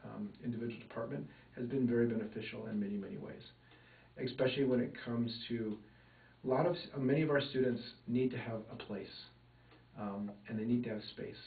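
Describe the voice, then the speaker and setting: A man from Minnesota giving an oral history interview, talking in a thoughtful, measured way with many fillers. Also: mid-range voice, vocal fry. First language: English. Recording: echoey room, far mic, phone-like band.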